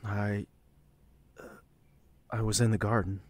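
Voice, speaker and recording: A man playing a flustered, evasive mercenary in an audio drama, apologizing in a halting, deferential way. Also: fairly low pitch, little pitch movement, close to an everyday voice. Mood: sheepish, apologetic.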